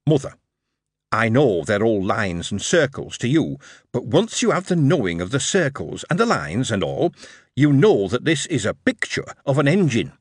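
An eager young Yorkshire lad, lifted a little above the reader's own voice, whose words tumble out in a lively up-and-down rush, as a man reads him. The tone is slightly husky and close. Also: mid-range voice, normal speed, no room sound.

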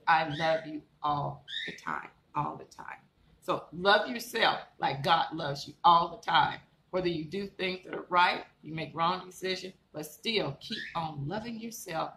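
A woman minister preaches to her online congregation in a low, gravelly voice. She keeps coming back to the same encouraging points and builds them up into emphatic declarations. Her voice rings around a room full of echo, with a faint hiss underneath. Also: a bit bright. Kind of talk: live-streamed sermon. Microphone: live stream setup. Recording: echoey room with faint background noise.

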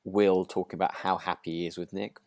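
A man with a low voice, recorded dry with little room sound. He co-hosts a relaxed conversation, feeling his way through sentences haltingly and sounding earnest. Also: gravelly, no room sound. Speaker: podcast co-host. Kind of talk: relaxed casual chat about a radio drama.

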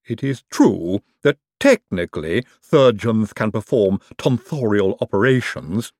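A man's voice: fairly low pitch, very animated, unhurried, a little mellow, dry recording, clean studio sound, heavily acted. A man voicing a gloomy, formal male servant-surgeon who speaks with a mournful deference. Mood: pained.

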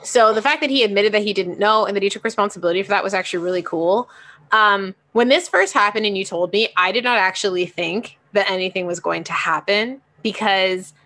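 A woman co-hosting a casual chat, agreeable and quick to affirm what her partner says. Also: mid-range voice, sharp tone. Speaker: podcast co-host.

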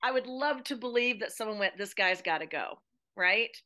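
A woman from the United States, an expressive podcast guest discussing drama conversationally and with emphasis. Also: medium pitch, moderate pace.

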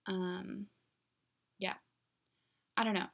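A young woman talks casually on her own podcast in an even, monotone delivery that sounds less enthusiastic than she means. Her voice has a gravelly vocal fry, and her speech is filled with "like". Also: mid-range voice, very slow, muffled recording. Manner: heavy use of "like" as a filler. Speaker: podcast host. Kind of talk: casual solo podcast monologue.

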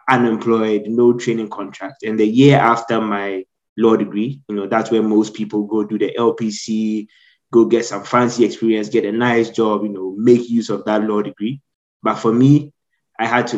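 A young man with a Ghanaian accent, a guest telling his own story in an earnest, measured way. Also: on the low side, steady tone, darkish tone, lo-fi sound. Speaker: guest, trainee solicitor. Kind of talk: reflective personal storytelling.

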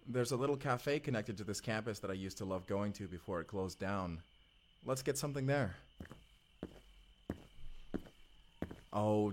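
A man's voice: lowish voice, slight background noise, lightly acted. Man acting as earnest young time traveler. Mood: tender, reassuring. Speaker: voice actor in an audio roleplay. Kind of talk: first-person audio drama dialogue, spoken to the listener.

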